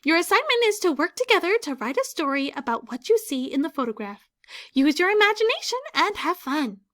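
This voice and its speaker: A woman voicing Mrs. Carlotti, an encouraging schoolteacher, in a clear, instructive classroom tone. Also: extremely high pitch, sing-song, brisk, fairly bright tone, voice pushed up, heavily acted.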